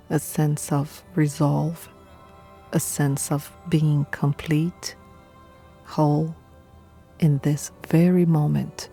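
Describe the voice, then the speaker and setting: A woman leads a guided meditation in a low, mellow voice. She is calm and soothing, speaking very slowly in short phrases with pauses between them. Soft music plays behind her. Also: creaky. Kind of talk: guided meditation, scripted narration.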